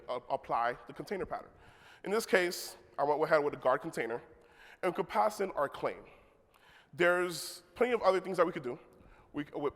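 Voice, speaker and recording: A man giving a technical conference talk in a casual manner, with joking asides to the audience. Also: fairly high pitch. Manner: leads the crowd in a call-and-response. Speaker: conference speaker. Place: large conference hall. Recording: long hall reverb.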